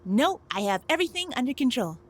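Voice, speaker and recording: High-pitched snippy robot girl, voiced by a woman. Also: big pitch swings, quick pace. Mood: focused, suspicious. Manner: snippy, exasperated, sarcastic. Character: irritable, proud robot girl engineer. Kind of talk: scripted sci-fi cartoon audio drama.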